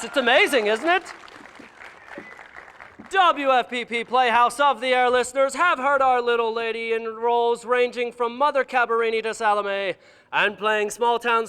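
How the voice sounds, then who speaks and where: An actor playing a showy 1940s radio announcer hosting a live broadcast on a theater stage. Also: male voice, extremely high pitch, sharp tone, clear, resonant tone, exaggerated voice. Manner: showy, emcee patter. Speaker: radio show host. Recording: echoey hall with crowd.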